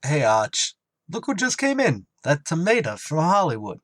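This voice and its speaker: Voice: mid-range voice, huge pitch swings, normal speed, dry recording, clean studio sound. An actor doing a dopey, drawling waiter. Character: slow-witted adult male tavern waiter. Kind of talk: old-time radio comedy acting.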